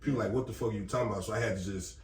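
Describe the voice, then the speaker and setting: A man's voice: on the low side, very fast, a little mellow. A young man from Indiana, a podcast guest who runs a security company, telling his story in casual, profane chat. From Gary, Indiana, US. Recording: echoey room, mic at a distance.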